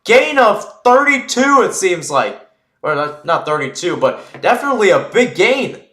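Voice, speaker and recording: Man, live football play-by-play, informal and self-correcting, lively swings in pitch, echoey room. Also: measured pace. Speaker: play-by-play sports commentator. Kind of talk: live football radio-style commentary.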